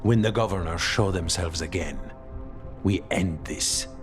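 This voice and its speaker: An actor playing a stern, vengeful member of a city crime guild in a video game, speaking in clipped, grave statements. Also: male voice, sits low, a little slow. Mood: stern resolve. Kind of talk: video game cutscene acting. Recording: music behind the voice.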